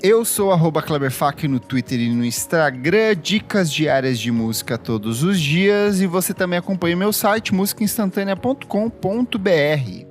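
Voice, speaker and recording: A man's voice: on the high side, expressive, moderate pace, hardly any pauses. A man from Brazil co-hosting a casual music podcast, reading listener comments aloud and teasing. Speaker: podcast co-host. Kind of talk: casual chat.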